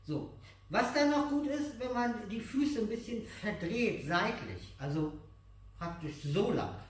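A middle-aged man teaching exercises step by step in simple, repeated phrases, patient and encouraging. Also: high-pitched. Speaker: aikido instructor. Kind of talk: hands-on exercise instruction to a child and viewers. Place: large dojo room with mats, indoors. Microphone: distant camera microphone. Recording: echoey hall, far from the mic.

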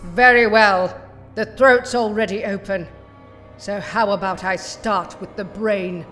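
A woman's voice: medium pitch, fairly even intonation, takes it slowly, fairly bright tone. A woman playing a haughty, short-tempered villain scientist in an audio drama, theatrical and scornful. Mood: cold, scheming. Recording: long hall reverb, music underneath.

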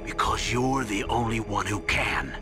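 Actor as a grave older colonel over music. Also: male voice, fairly low pitch, close to an everyday voice. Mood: grave, guarded. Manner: grave, earnest, measured, formal. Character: older male military colonel, grave, evasive commander. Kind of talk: video game radio briefing acting.

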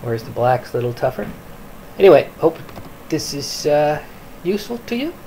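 A man from Canada giving an informal product review in a halting, piecemeal delivery. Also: fairly high pitch, very animated, mellow. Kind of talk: informal screen-recorded review. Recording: clearly noisy home recording.

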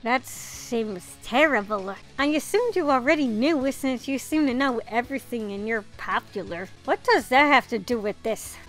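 A woman's voice: a little high, lively intonation, normal speed, few pauses, fairly bright tone, soft background music, poor audio. A woman doing a casual playthrough of a visual novel, reading dialogue aloud and reacting informally. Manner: reactive, informal, mild swearing.

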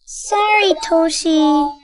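A woman's voice: very high voice, extremely slow, faint music behind, full character voice. A woman voicing a cheerful young raccoon boy in simple, spaced phrases for an English-teaching children's cartoon. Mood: friendly.

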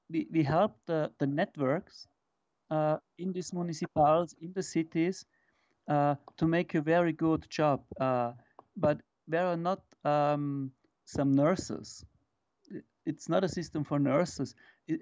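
Halting man on a dull remote line. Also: fairly high pitch, a little mellow, no echo. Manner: hesitant, halting non-native English. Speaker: remote presenter answering questions. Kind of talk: conference Q&A answer.

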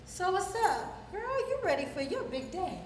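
Actress as a sassy high-voiced girlfriend, very echoey. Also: sing-song, moderate pace. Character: sassy, independent single girlfriend, teasing and blunt. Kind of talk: stage play rehearsal acting. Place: rehearsal room with stage, indoors. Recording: very echoey hall, distant mic, faint noise.

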